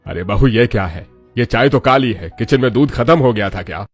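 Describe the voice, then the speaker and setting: A man's voice: mid-range voice, expressive, a little fast, breathy edge, soft background music. A man voicing a bewildered older father-in-law in a family cartoon, questioning and put out. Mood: puzzled.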